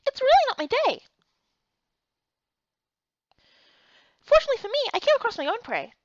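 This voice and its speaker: A woman voicing an earnest, excitable young heroine, reading a fantasy game story in the first person with expressive, dramatic delivery. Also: extremely high pitch, racing, dull audio, voice pushed up, full character voice. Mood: excited.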